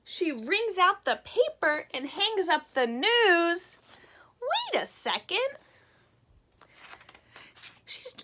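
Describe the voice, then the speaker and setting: Bright, high-pitched woman reading to children. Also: drawn-out words, pauses often. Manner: animated, expressive, explains words simply for young listeners. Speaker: teacher reading a picture book aloud to children. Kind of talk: children's read-aloud with simple explanations.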